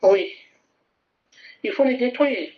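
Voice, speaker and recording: Fervent woman preacher, higher voice. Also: animated, takes it slowly, dull audio. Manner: fervent, repetitive preaching with calls to repeat after her. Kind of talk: sermon.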